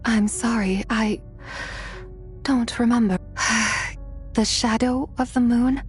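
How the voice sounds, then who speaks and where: An actress playing a gentle, wistful, long-lingering spirit woman in a video game, speaking in a dreamy, hesitant, solemn way. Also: on the high side, steady tone, takes it slowly, soft background music.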